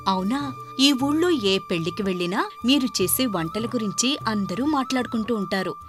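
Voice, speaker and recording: A woman plays a sensible village wife in a cartoon moral tale. She sounds frank and conversational, fairly high, over faint music. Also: animated, normal speed, lightly acted. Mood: teasing, admiring. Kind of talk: cartoon moral-story dialogue.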